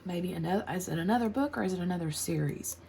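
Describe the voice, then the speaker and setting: A woman in her forties with an American accent, unhurried and chatty as she answers questions while crafting at home. Her voice sits a little low and has a gravelly edge, and she laughs easily between thoughts. A faint hum of room noise lies under the recording. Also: warm tone. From United States. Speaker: craft channel host. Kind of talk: casual chat while crafting.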